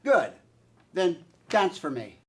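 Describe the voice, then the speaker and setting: A man's voice: sits high, big pitch swings, takes it slowly, exaggerated voice. A man acting a domineering, imperious executive who relishes bullying underlings, in a satirical stage play reading. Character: domineering, gleefully bullying male executive boss.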